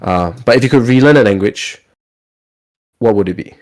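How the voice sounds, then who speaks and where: A man's voice: medium pitch, moderate pace, a little mellow. A Singaporean man chatting casually as a podcast host, talkative and often repeating his words as he goes.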